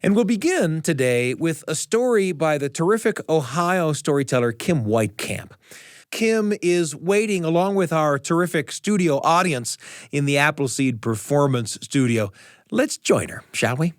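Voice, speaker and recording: Lively radio host, higher-pitched man. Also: moderate pace, dry recording, clean studio sound. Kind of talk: scripted radio show introduction.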